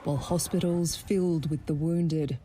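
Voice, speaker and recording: A woman's voice: low-pitched, steady tone, moderate pace, crowd noise. A woman with an Australian accent reading a scripted news report.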